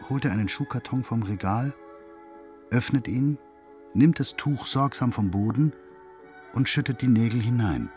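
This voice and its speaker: An actor playing a detached, meticulous narrator in a radio drama, sober and matter-of-fact. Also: male voice, mid-range voice, a little mellow, natural voice.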